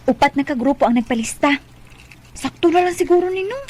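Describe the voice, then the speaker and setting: An actress playing an excitable, teasing fifteen-year-old village girl in a Bisaya radio drama, with a Cebuano Filipino accent. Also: high voice, fairly quick, soft background music. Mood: chatty. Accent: Filipino, Cebuano-speaking.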